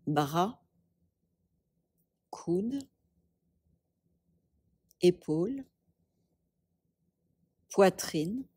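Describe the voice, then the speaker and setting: A woman leads a guided meditation at a very slow, unhurried pace. Her voice is soft and breathy, with calm instructions given in short phrases and long pauses between them. The sound is dry, with very little room around it. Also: on the low side, no room sound. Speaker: yoga nidra teacher.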